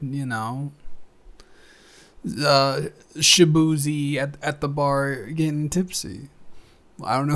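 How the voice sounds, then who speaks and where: A man's voice: fairly high pitch, close to an everyday voice. Rambling tired roommate guy, acted by a man. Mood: playfully defensive. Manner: rambling, halting, digressive. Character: rambling, tired male roommate, affectionate and defensive. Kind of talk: improvised roleplay audio, casual chat.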